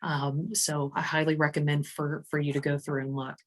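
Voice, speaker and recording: Female presenter, low register, conversational software walkthrough, keen and explanatory. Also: level tone, normal speed. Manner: enthusiastic, informal, explanatory. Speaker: HR executive demonstrating software.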